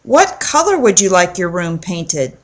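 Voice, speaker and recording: A woman reading the part of a kind, patient mother in a children's storybook, gentle and reassuring. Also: on the low side, very animated, measured pace. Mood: encouraging.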